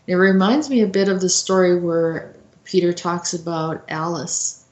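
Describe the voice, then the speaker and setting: A woman giving an informal explanatory talk as a host, in a halting, reflective manner. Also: fairly low pitch, fairly even intonation, a little mellow. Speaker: host commentator. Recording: roomy, echoey sound.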